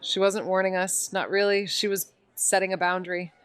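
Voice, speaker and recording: A woman's voice: medium pitch, level tone, moderate pace, a bit bright, clean tone, dry recording, natural voice. Woman as wry, observant noir girl sleuth. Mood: wry, brooding. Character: wry, observant young female amateur sleuth. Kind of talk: noir audio drama dialogue and first-person narration.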